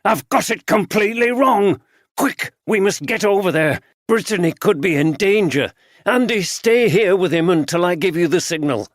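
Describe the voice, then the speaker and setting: A man's voice: mid-range voice, expressive, normal speed, fairly bright tone, no room sound, clean studio sound, close to an everyday voice. A man playing a shrewd older male detective, probing and then urgent and commanding. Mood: alarmed.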